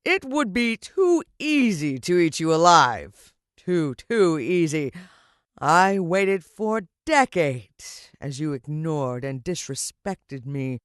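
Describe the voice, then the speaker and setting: A woman voicing a gloating, vengeful older male villain from a children's storybook, declaiming slowly and theatrically with menace. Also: sits low, animated, no echo, studio quality. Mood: menacing.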